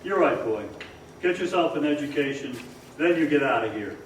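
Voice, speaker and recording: An actor playing a tired, kindly older father in a radio drama on stage, gentle, measured and plain-spoken. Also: fairly high pitch, lively intonation, lightly acted. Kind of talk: old-time radio drama acting on stage. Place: performance hall, far from the microphone. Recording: very echoey, distant stage recording, faint noise.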